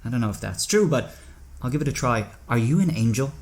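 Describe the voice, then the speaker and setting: An actor playing a curious, troubled driver in an audio drama, conversational, wondering and wary. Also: male voice, medium pitch, lively intonation, brisk, a little mellow, natural voice. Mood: wary, probing. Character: uneasy, questioning man driver, curious and troubled.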